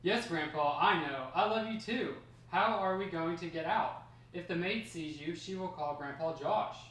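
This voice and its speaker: A man's voice: a little high, moderate pace, a bit bright, close to an everyday voice. A man in a table read playing a young, candid, bored grandson. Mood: plain, affectionate. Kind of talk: amateur screenplay table read. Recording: echoey room, distant mic, faint noise.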